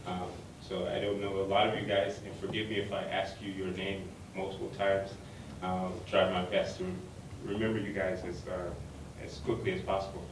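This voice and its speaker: A man's voice: low-pitched, monotone, moderate pace. A man moderating a university panel, speaking hesitantly with many ums and uhs. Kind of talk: hesitant opening remarks. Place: university lecture room. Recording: echoey hall, far mic, noisy.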